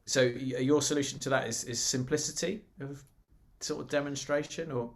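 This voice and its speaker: A man's voice: medium pitch, fairly even intonation, normal speed. A man hosting a music education podcast, asking searching questions with hesitant fillers and restarts. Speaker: podcast host. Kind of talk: interview questions.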